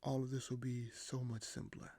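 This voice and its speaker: Man playing a measured, creaky-voiced scheming royal. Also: medium pitch, completely flat delivery, mellow, dry recording, close to an everyday voice. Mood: calm, calculating. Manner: measured, hesitant, formal speech with deliberate pauses. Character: scheming young king, courteous and measured, bargaining with a dragon. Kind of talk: audio roleplay acting, addressed to the listener.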